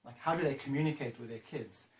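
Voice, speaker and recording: A man's voice: medium pitch, fast talker. Chatty male interviewer in a roomy space. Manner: chatty, encouraging questions. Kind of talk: casual interview. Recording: roomy sound with faint noise.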